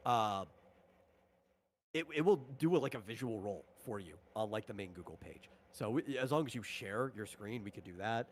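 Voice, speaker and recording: A man's voice: fairly low pitch, animated, moderate pace, a little hiss or hum. A man talking casually on a livestream as a tabletop game designer, explaining rules in a rambling, informal way.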